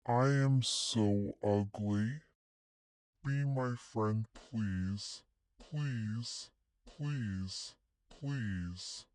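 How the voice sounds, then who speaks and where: A man voicing a lonely, ugly video game creature pleading for friendship, gravelly and halting. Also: lowish voice, darkish tone, no room sound. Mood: pleading, desperate. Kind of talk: video game cutscene acting.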